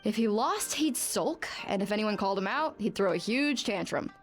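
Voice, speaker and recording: Woman acting gossipy female clinic colleague, medium pitch, dry sardonic delivery, video game dialogue, background music. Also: animated, moderate pace, close to an everyday voice. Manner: dry, wry storytelling.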